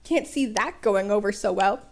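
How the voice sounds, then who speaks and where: A woman's voice: mid-range voice, normal speed, a little mellow, a little hiss or hum, natural voice. A woman voicing a grumpy, sarcastic teenage boy in a story, dry and exasperated.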